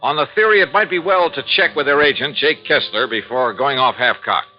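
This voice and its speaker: A man's voice: medium pitch, a little fast, lightly acted. Male lead actor as a wry private insurance investigator and narrator; lo-fi 1950s radio. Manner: wry, dry, hard-boiled. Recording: dull lo-fi 1950s radio recording with faint music.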